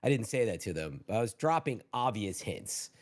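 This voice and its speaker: A man's voice: on the low side, very animated, normal speed. A man co-hosting a gaming podcast, telling anecdotes in informal, slangy, casual chat. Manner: informal, peppered with "like" and "dude". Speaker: podcast co-host.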